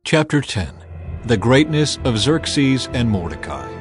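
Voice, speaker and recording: A man's voice: mid-range voice, sing-song, unhurried. A man reading scripture as a scripted, dramatized audiobook narrator. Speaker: Bible narrator. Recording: music behind a dry studio voice.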